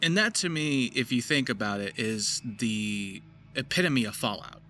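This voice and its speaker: A man's voice: medium pitch, expressive, moderate pace, sharp tone. A man speaking as a voice actor, giving casual explanatory commentary on his craft. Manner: slips into gritty character demonstrations. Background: music behind the voice.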